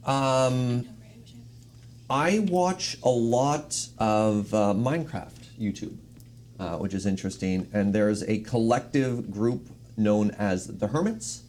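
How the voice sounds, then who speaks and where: A man's voice: on the low side, takes it slowly, darkish tone. A man speaking casually and off the cuff as a panel guest giving recommendations. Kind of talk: casual unscripted chat. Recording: faint room noise behind.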